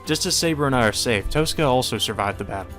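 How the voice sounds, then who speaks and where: A man's voice: medium pitch, lively intonation, fast talker, a bit bright, faint music behind. A man reading visual novel narration aloud as a let's player, with casual commentary.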